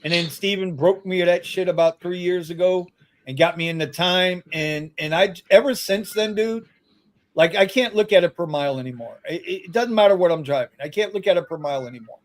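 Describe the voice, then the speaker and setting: Male co-host, high voice, teasing, blunt, casual. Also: a little fast. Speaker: rideshare podcast co-host. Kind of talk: casual live-stream chat.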